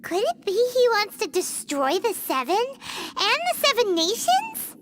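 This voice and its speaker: A woman voicing a small, perky, talkative fairy companion in a video game, with a squeaky childlike voice and exaggerated cute delivery. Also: sing-song, a little slow, heavy background noise, full character voice. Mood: puzzled, explaining. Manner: exaggerated cute delivery, talks of self by name. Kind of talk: video game character acting.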